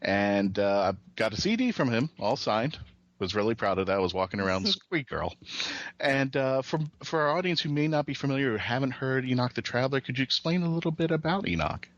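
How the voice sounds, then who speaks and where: A man co-hosting a podcast with casual, playful, sometimes halting chat. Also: medium pitch, lively intonation, no room sound, highs cut off. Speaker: podcast co-host.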